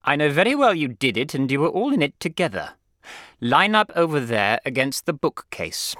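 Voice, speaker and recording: A man's voice: fairly high pitch, very animated, moderate pace, a bit bright, dry recording, studio quality, voice pushed up. A man voicing a huge, domineering headmaster who snaps out stern orders in a storybook reading. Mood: stern, accusing. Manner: barking, snapping orders. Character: giant, domineering, menacing male headmaster.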